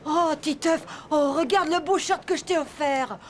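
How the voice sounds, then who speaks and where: A woman playing a doting, fussy female relative in an animated series, cooing and gushing as she talks. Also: high-pitched, moderate pace, lightly acted.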